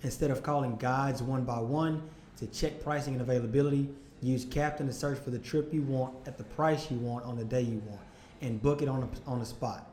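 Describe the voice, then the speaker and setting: A man with a hoarse voice hosts a relaxed interview show, often tripping over the start of his questions. His pitch sits in an ordinary middle range. The room around him rings with a noticeable echo. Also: a little hiss or hum. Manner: halting, repeats words when starting questions. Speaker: podcast host. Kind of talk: casual interview chat.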